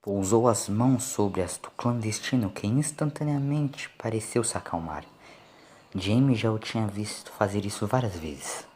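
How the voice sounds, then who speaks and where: A man's voice: on the low side, moderate pace, a little mellow, a little hiss or hum. A man narrating a scripted dramatic story. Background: faint music.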